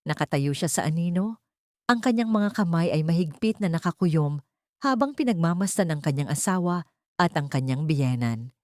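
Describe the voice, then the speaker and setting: A woman's voice: on the low side, animated, normal speed, darkish tone, no room sound, studio quality. A woman reading a scripted, dramatic audio story as its narrator.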